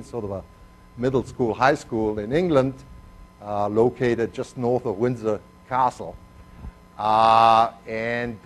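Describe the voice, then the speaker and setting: An older man from Argentina, a native Spanish speaker, gives a chemistry lecture with a mixed foreign accent. He is digressive and self-deprecating and pauses often on "uh.". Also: medium pitch. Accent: mixed foreign accent, Spanish first language. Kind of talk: university lecture.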